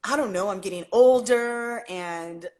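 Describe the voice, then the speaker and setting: A woman of middle pitch, a guest in a relaxed livestream chat. She speaks emphatically and bluntly and swears now and then. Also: a little slow.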